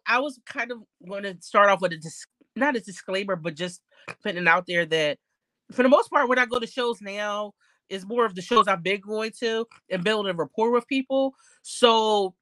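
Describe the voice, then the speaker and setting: Woman guest on a podcast, kinda stuffy nose, medium pitch, real candid and slangy, swears some, dry sound. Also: a bit bright, no echo. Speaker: podcast guest, card collector. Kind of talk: casual interview chat.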